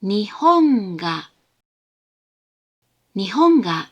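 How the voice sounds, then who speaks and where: A woman reading short pronunciation examples for a lesson, repeating them with deliberate, careful enunciation. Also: mid-range voice, sing-song, muffled recording. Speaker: native-speaker example reader in a lesson.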